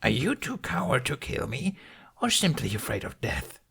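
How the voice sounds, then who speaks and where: Man voicing a raspy, haughty female dragon. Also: mid-range voice, sing-song, normal speed, a bit bright, voice pushed up, full character voice. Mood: challenging. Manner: formal, imperious speech. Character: proud, haughty, blunt female dragon, wild and territorial.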